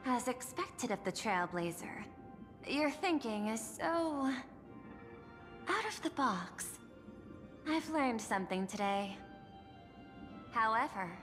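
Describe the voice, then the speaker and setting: A woman's voice: mid-range voice, in a large hall. A woman voicing a composed, calculating, machine-like female administrator in a video game, speaking formally and analytically. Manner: formal, measured, clipped analytical phrasing. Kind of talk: video game character dialogue.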